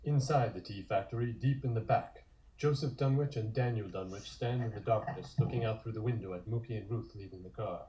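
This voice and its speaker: A man's voice: lowish voice, brisk, mellow, poor audio. A man narrating scripted scenes in the present tense for a comic table read.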